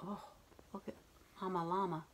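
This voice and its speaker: A woman's voice: fairly low pitch, level tone, normal speed, noisy background. Middle-aged woman reading to children. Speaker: read-aloud host. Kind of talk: picture-book read-aloud for children. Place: room at home, indoors.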